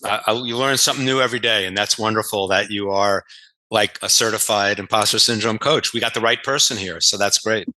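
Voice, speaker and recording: A man's voice: fairly low pitch, fairly quick, pushed and bright, rough recording. A man hosting an interview over a video call, asking rambling questions with frequent restarts and enthusiastic praise. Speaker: host.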